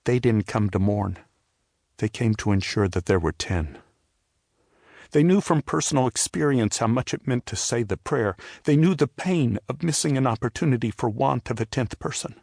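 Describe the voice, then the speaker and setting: An older man narrates an audio drama in a medium-pitched voice. His delivery is scripted yet personal, reflective and measured, recorded close and dry with little room sound. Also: gravelly, a little mellow, no echo. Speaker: narrator and playwright telling his own story.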